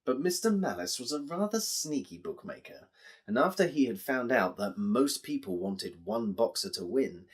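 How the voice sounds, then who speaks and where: A man with an English accent telling a story to children in an expressive, explanatory way, addressing listeners directly. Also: mid-range voice, husky.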